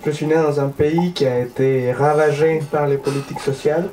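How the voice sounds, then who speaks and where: A man giving a plain, steady interpreting voice-over. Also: on the high side, a little fast, a little hiss or hum. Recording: distant, roomy voice with faint ambience.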